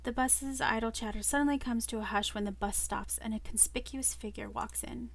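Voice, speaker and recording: A woman reading a visual novel aloud as expressive first-person story narration. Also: on the high side, fairly quick. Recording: music under the voice. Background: clear background music.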